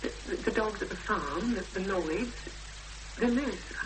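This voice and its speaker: An actress playing an anxious, halting young woman searching for her sister in a 1970s radio drama. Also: mid-range voice, background noise, lightly acted. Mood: troubled. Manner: halting, emotional, reflective.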